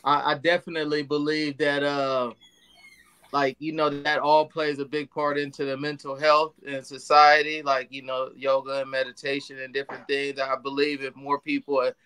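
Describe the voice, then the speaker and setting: A man's voice: mid-range voice, little pitch movement, moderate pace, sharp tone, clean tone, rough recording. A man co-hosting a podcast, talking in a laid-back, hedging way with frequent restarts. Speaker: podcast co-host. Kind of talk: casual podcast chat.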